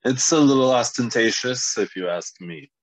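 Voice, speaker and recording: A man's voice: medium pitch, lively intonation, normal speed, no echo, lightly acted, low-quality recording. Man playing a haughty, droll young wizard. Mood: sardonic. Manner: droll, condescending. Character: haughty, sardonic twenty-seven-year-old male wizard. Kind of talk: animated fantasy table read acting.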